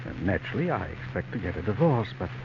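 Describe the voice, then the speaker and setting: Weak, infatuated husband: low, swooping, hesitant voice, old radio drama, noisy recording. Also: male voice, big pitch swings, lo-fi sound. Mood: hesitant, tender. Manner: hesitant, halting, subdued. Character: infatuated, evasive married man, weak and flustered. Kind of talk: 1940s radio drama acting.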